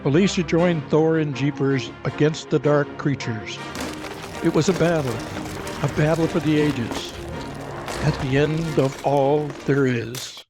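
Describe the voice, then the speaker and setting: A man delivers dramatic scripted narration for an audio adventure. He speaks slowly in a slightly high male register, with clear music running behind him. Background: cinematic music.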